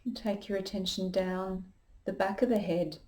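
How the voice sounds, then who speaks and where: A woman leading a guided meditation as a yoga teacher, calm and unhurried, giving gentle instructions. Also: mid-range voice.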